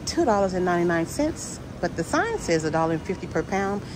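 A woman vlogger filming a grocery store walk-through, reading out each item and its price in a slow, deliberate way. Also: fairly low pitch, sing-song, background noise. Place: inside a large supermarket.

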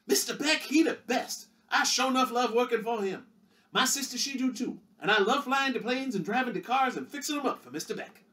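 A man voicing a cheerful young chauffeur and pilot in a high, eager, folksy voice. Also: lively intonation, brisk, sharp tone, distant mic, heavily acted.

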